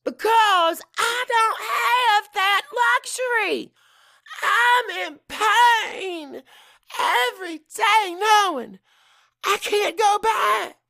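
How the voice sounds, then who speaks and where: A man voicing an anguished, defiant wife in an audiobook drama, tearful and forceful with a raised voice. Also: very high voice, extremely slow, gravelly, pitched above the performer's own voice, exaggerated voice. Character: anguished, defiant wife standing up to her husband.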